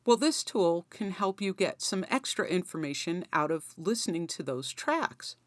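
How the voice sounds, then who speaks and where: A woman's voice: fairly low pitch, big pitch swings, normal speed, no room sound, studio quality. A woman hosting a tutorial, explaining things in a conversational, instructional way. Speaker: host of songwriting tutorial.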